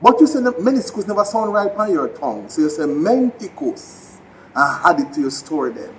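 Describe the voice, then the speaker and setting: A man's voice: fairly high pitch, very animated, normal speed, slight background noise, rough recording. A man with a Jamaican accent, an actor giving a heartfelt spoken tribute addressed directly to a departed mentor.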